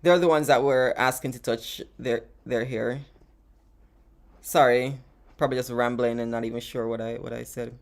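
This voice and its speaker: A woman's voice: rumbling low, fairly even intonation, normal speed, a little mellow. A woman with a Jamaican accent, chatting casually and rambling as she answers live-stream questions, often laughing and repeating words. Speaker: travel vlogger answering live chat questions.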